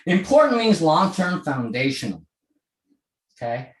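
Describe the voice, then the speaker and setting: A man's voice: on the high side, sing-song, unhurried, echoey room, low-quality recording. A man in his sixties from Hong Kong giving a conversational talk to an online audience, often checking in with "okay?". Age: 60s. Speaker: speaker. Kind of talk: presentation to a virtual audience. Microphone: video call.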